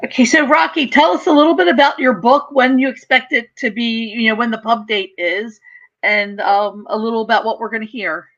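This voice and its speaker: A woman's voice: a little high, brisk, fairly bright tone. A woman hosting an author reading over a video call, friendly and encouraging as she introduces the reader. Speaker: host of an author reading series. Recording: dull lo-fi video call audio.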